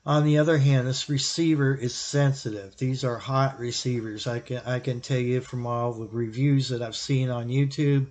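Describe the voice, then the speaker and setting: A man giving an informal, explanatory product recommendation as a hobbyist reviewer, thinking aloud in halting, broken phrases. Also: mid-range voice, steady tone, darkish tone. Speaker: YouTube radio hobbyist and reviewer.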